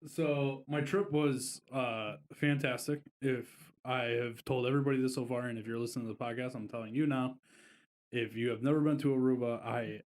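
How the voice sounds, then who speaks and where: A man's voice: mid-range voice, darkish tone. A man co-hosting a casual podcast, telling a long, rambling vacation story with plenty of fillers. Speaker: podcast co-host.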